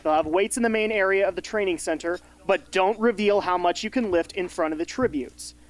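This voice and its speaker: A man's voice: high voice, brisk, close to an everyday voice. Man playing a blunt, pragmatic mentor. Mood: firm, coaching. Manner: blunt, instructive. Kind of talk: amateur radio drama acting.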